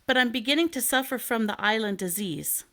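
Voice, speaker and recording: A woman's voice: on the high side, lively intonation, brisk, a bit bright. Woman voicing a chatty little canary. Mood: confiding. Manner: polite, talkative. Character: chatty, worried little male pet canary. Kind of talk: children's storybook read aloud.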